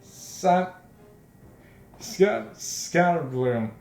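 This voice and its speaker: A man voicing an outraged, breathless female tank reporter in a visual novel, speaking in halting bursts. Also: on the high side, expressive, a little mellow, echoey room, pitched above the performer's own voice. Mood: indignant.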